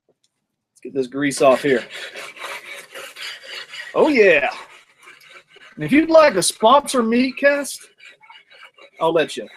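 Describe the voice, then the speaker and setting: A man's voice: fairly high pitch, a bit bright. Rambling man grilling outdoors. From North Georgia, USA. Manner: rambling, jokey, talks while working the grill. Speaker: cooking show host. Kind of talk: casual cooking vlog.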